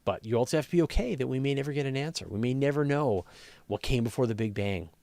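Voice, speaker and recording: A man's voice: mid-range voice, lively intonation, quick pace, vocal fry, dark tone, dry recording. A man hosting a live question-and-answer session, explaining things conversationally and thinking aloud as he answers. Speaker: host. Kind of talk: casual live question-and-answer on space and astronomy.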